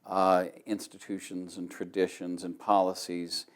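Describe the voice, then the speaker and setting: Low-voiced man explaining plainly. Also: flat delivery, measured pace. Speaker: interviewed speaker. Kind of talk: explanatory documentary interview.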